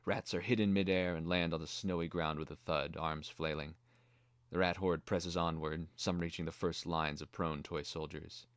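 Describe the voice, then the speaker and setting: Very deep male dramatic narrator. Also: a bit bright, dry recording. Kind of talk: scripted narration of a screenplay.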